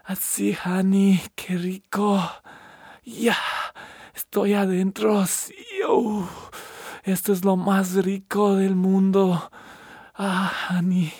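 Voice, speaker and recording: A man with a husky, breathy voice, unhurried to the point of lingering over every word. He murmurs through an intimate roleplay, sitting slightly low and close in a dry, dead-sounding space. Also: little pitch movement, a little mellow, no room sound. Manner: breathy, murmured, slips between Spanish and English endearments. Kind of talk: intimate erotic roleplay monologue.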